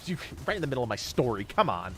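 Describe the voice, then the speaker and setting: Hoarse, grateful man on a stream. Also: medium pitch, sing-song, fast, a bit bright, soft background music. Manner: grateful, rambling, laughs often. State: losing his voice, stuffy. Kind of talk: live stream chat thanking donors.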